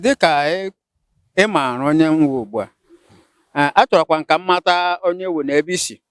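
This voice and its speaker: An older Nigerian man with an Igbo accent, a local chief answering interview questions in a measured, explaining way. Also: on the high side, clear, resonant tone, dry recording, studio quality.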